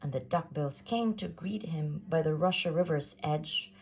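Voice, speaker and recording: A woman reading a rhyming children's story aloud in a theatrical, sing-song, expressive way. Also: sits low, measured pace, creaky, slightly rough. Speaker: storybook reader.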